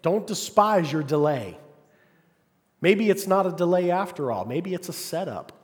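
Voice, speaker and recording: A man preaching a sermon, calling for "amen" from the congregation and swinging between loud, fervent passages and quiet asides. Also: sits high, expressive, a little slow. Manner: call-and-response, asks congregation "Amen?". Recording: long hall reverb.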